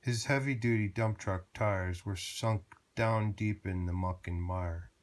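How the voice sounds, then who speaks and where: A man with a fairly low voice reads a children's storybook aloud. He takes it very slowly, in a measured rhythm that follows the rhymes. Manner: measured, rhyming read-aloud with short asides to a child.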